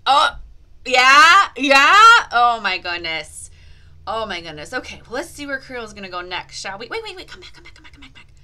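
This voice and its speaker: A woman's voice: on the high side, big pitch swings, normal speed, sharp tone. A woman hosting an interactive lesson for young children, playfully exaggerated and encouraging, asking questions and drawing out key words. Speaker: children's teacher and host of a read-aloud language activity.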